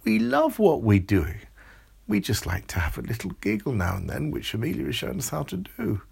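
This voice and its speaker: A man's voice: medium pitch, huge pitch swings, moderate pace, gravelly, darkish tone, husky, exaggerated voice. A man voicing cheerful, tubby little male grape imps.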